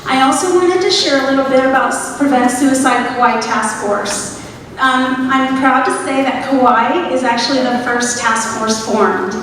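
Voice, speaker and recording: A woman's voice: sits high, fairly even intonation, measured pace, a bit bright, a little hiss or hum. Woman, Southern accent, earnest community speech, long hall reverb, distant mic. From Atlanta, US South. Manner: earnest, encouraging, leads the crowd in repeating phrases. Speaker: community speaker at a suicide prevention event. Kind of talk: public speech to an audience. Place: large echoey hall, live event. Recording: echoey hall recorded from far off.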